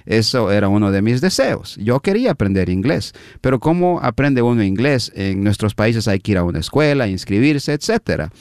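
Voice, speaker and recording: A middle-aged man from Guatemala, a minister being interviewed, telling a story in an unhurried, reflective way with many fillers. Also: fairly low pitch, very animated, darkish tone, clear, resonant tone, no echo, clean studio sound.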